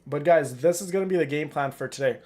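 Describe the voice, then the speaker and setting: A man's voice: on the high side, fast, darkish tone. A man chatting casually and familiarly to his viewers while streaming a video game. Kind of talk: casual live stream chat. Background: game music.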